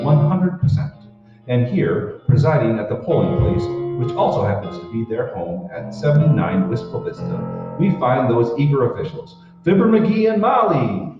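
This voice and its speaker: Animated male radio announcer, echoey hall. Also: mid-range voice. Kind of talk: scripted 1940s radio announcing and sponsor ad. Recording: muffled, echoey hall, far from mic.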